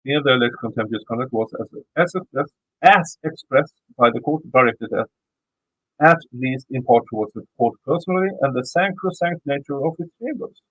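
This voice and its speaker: A man's voice: mid-range voice, expressive, normal speed, a bit bright, slightly rough, dry recording, low-quality recording. A man with a Swedish accent who is not a native English speaker, reading a court filing aloud, stumbling and restarting words and throwing in blunt, cursing asides. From Sweden. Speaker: YouTube commentator.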